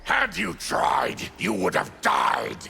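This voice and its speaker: An actor playing a fierce, defiant monstrous warrior in a video game, with a gravelly growl and a threatening, forceful delivery. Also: male voice, rumbling low, wildly swooping pitch, slow, soft background music, full character voice. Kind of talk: video game dramatic dialogue.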